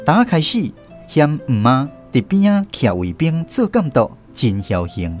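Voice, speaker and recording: A man with a Taiwanese accent narrating a radio drama in a folksy, expressive storytelling manner. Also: medium pitch, unhurried, music in the background.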